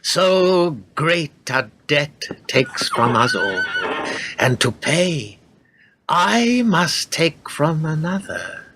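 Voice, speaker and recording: A man's voice: fairly high pitch, huge pitch swings, very slow, noisy background, heavily acted. An actor playing a haughty, greedy warrior king and father in a radio play, with pompous, archaic delivery. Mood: stern, commanding. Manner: pompous, declamatory archaic speech.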